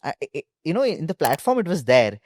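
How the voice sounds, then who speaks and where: A man's voice: a little high, big pitch swings, a little fast, a little mellow, dry recording, studio quality. A man with an Indian accent, a co-founder telling stories casually in an interview and peppering his speech with "like". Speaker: startup co-founder being interviewed.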